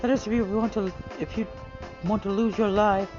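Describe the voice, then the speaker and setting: A man voicing a brash, sharp-tongued young woman adventurer who talks in an indignant, mouthy way. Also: high voice, steady tone, moderate pace, close to an everyday voice. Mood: defiant. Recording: muffled, faint music behind.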